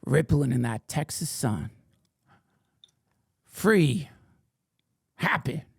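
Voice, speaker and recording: A middle-aged man hosting a comedic stream, riffing in a mock-indignant persona that swings from whispers to shouts. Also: medium pitch, expressive, extremely slow, vocal fry, breathy edge, no echo, studio quality. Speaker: podcast host reading chat questions.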